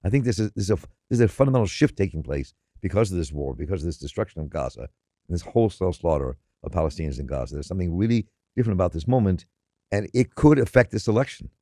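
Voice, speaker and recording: Older guy hosting, deep voice, talks fast, dark tone, keeps restarting his sentences. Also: expressive, dry recording, studio quality. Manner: rambling, many restarts and repeated words. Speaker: interview host. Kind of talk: radio interview questions.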